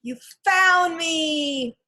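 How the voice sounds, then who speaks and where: A woman reading a children's storybook, voicing a brisk, eager young girl with emphatic, expressive delivery. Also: on the high side. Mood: determined. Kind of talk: children's storybook read aloud.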